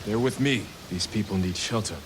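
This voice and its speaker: An actor playing a stern, commanding and honorable samurai lord in a video game. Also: male voice, fairly low pitch, moderate pace, natural voice. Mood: calm, stern. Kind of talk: dramatic video game acting. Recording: noisy game audio with outdoor ambience.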